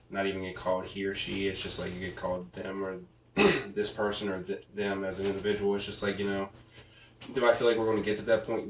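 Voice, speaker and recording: Low-voiced young guy joking in an echoey room. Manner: laid-back, joking, slangy. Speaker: podcast co-host. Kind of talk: casual chat. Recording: roomy echo, distant mic, faint hiss.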